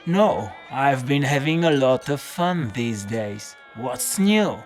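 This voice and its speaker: An actor playing a battered, hard-drinking detective, narrating a noir audio drama in a deadpan, world-weary, wry way. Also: male voice, mid-range voice, takes it slowly, soft background music. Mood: feigning innocence.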